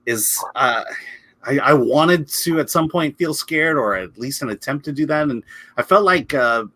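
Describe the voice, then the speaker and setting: Bright-voiced man giving a halting movie review. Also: mid-range voice, expressive, no echo. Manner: hesitant, halting, repeats words. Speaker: film critic co-hosting a movie review. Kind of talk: casual review chat.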